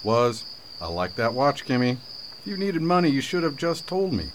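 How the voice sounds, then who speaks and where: A man's voice: mid-range voice, very animated, moderate pace, close to an everyday voice. A man playing a wry, loyal, kindhearted sheriff in a radio drama, with a dry, teasing manner. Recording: noisy, with cricket-like background.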